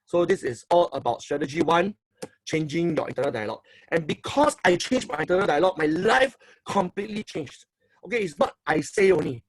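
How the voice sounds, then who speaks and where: A middle-aged man with a Singaporean English accent gives an emphatic, fairly high-pitched seminar talk, recorded close and dry. He keeps repeating phrases and tagging lines with "okay?" as he coaches his listeners. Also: expressive, brisk, dry recording, low-quality recording. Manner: emphatic, repeats phrases, asks for likes and applause; tags sentences with "okay". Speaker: motivational speaker and coach.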